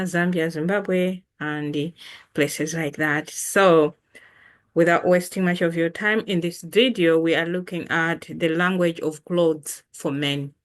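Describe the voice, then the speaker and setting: A woman with a Malawian accent gives an informal language lesson as the teacher, repeating words deliberately for learners. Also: sits low.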